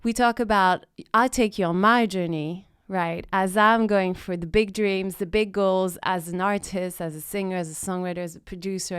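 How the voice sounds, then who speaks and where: A woman's voice: mid-range voice, clean tone, no echo, studio quality. A woman hosting her own podcast, introducing the episode and chatting with a guest in an upbeat, emphatic way. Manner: upbeat and emphatic, repeats phrases for stress. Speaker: podcast host. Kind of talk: podcast intro and casual interview chat.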